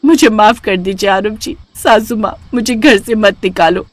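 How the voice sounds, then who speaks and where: A woman's voice: medium pitch, normal speed, dry recording, natural voice. Woman doing a pleading remorseful young wife. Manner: pleading, tearful.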